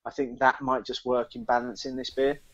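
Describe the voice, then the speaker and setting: A man with a mid-pitched voice chats casually as a podcast host giving a review. He thinks aloud in a halting way, often doubling back on words. The audio is somewhat dull and lo-fi. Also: level tone, fairly bright tone. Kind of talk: casual chat, beer review.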